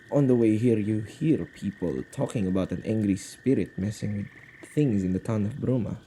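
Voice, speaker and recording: A man acting an anxious, protective witch who guards spirits, in an intimate, expressive ASMR audio roleplay with a halting conversational delivery. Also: lowish voice, gravelly. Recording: faint background noise.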